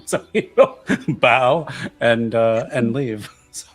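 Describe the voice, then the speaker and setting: A man's voice: mid-range voice, slow. A man talking casually as a player in a tabletop roleplaying game.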